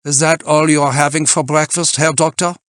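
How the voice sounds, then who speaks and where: A man's voice: fairly high pitch, steady tone, a little fast, fairly bright tone, dry recording, clean studio sound, pitched above the performer's own voice, lightly acted. A man voicing a domineering, pedantic mountaineer in an audiobook, with a stiff, declamatory manner. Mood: forceful, admonishing. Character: domineering, pedantic German male mountain climber.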